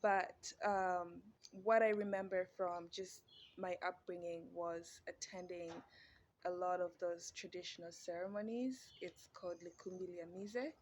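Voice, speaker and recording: A woman's voice: on the low side, fairly even intonation, a little slow. Woman explaining patiently. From Zambia. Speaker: interviewee. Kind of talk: casual interview.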